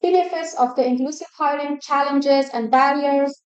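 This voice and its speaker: A woman from Afghanistan giving a measured conference presentation with frequent hesitations. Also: sits high, fairly even intonation, muffled recording. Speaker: guest speaker. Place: event stage.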